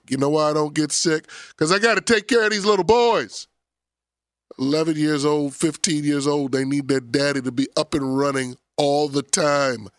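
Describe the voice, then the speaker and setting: A man's voice: medium pitch, dry recording. A man in his forties, a pastor hosting a radio talk show, sounding nasal and scratchy from a summer cold, playful and preacherly. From Philadelphia area.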